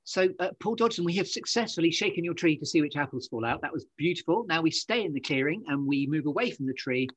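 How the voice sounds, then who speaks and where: A man hosting a chatty interview, effusive and quick to exclaim. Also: on the high side, lively intonation, a bit bright, slightly rough, no echo. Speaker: podcast host.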